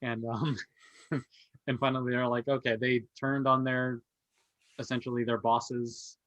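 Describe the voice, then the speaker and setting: A man's voice: mid-range voice, flat delivery, unhurried, fairly bright tone, dry recording. A man chatting casually as a podcast panelist, on a slightly dull recording. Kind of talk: casual chat.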